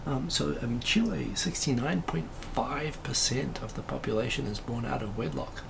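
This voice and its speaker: Man, raspy and heavily gravelly, loose rambling live-stream host, steady hiss behind. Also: mid-range voice, moderate pace. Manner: rambling, many fillers and asides. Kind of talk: casual live-stream chat reading comments.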